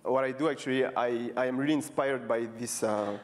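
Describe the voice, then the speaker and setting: Casting note: man, 30s, French accent, relaxed stage talk full of fillers and restarts. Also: medium pitch, slight background noise. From France. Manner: halting, many fillers and restarts; casual, friendly, repeating words. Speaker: street artist giving a talk. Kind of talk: conference talk. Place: stage before a live audience.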